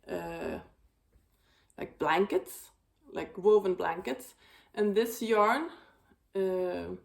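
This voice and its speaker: A woman hosting a knitting video, chatting casually about her projects, with a slightly raspy voice and a rambling, filler-heavy way of talking. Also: mid-range voice. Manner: rambling, many fillers and restarts. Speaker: knitting podcast host.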